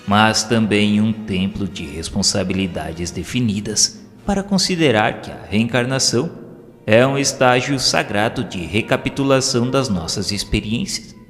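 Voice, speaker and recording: Brazilian man, low voice, solemn formal narration, measured oratorical delivery, music underneath. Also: expressive, fairly bright tone. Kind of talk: solemn scripted narration of a religious audio drama. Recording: long hall reverb, music underneath. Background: background music.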